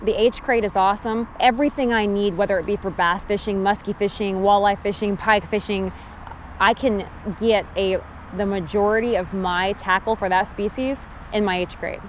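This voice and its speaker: A woman's voice: medium pitch, fairly even intonation, normal speed, a little hiss or hum. Woman vlogging outdoors on a riverbank. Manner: friendly, practical, emphatic on safety rules. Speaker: fishing vlogger. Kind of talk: casual how-to talk to camera. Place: outdoors on a river gravel bank. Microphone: action camera held at arm's length.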